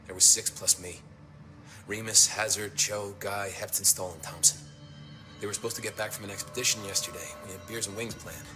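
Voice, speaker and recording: A weary, gruff security ranger played by a man in a video game. His voice is low and his talk is casual, rambling and full of grumbling. Music plays clearly behind him. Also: fairly even intonation, a little fast, close to an everyday voice. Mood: wistful, concerned. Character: gruff, foul-mouthed, weary male security ranger officer. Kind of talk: video game cutscene dialogue, conversational.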